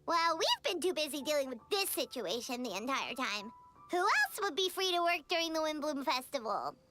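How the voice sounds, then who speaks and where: A tiny childlike fairy companion from a video game, chirpy and full of curiosity. The voice is extremely high and swings up and down in a lively way, over loud music. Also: female voice, moderate pace. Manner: inquisitive, chirpy. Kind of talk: video game character dialogue. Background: prominent game music.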